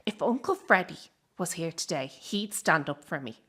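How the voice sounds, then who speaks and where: Bitter, bright-voiced young circus woman, played by an actress. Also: fairly low pitch, animated, moderate pace, husky, exaggerated voice. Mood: bitter, furious. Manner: confrontational, sarcastic, sweary. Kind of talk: dramatic radio play dialogue.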